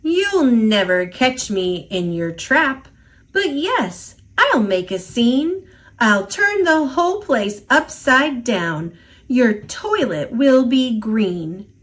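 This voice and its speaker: A woman's voice: fairly high pitch, very animated, takes it slowly, fairly bright tone, heavily acted. A woman voicing a mischievous, boastful little old male leprechaun in a storybook, with a playful, taunting, rhyming lilt. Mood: cocky, teasing. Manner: playful, taunting, sing-song rhyming delivery.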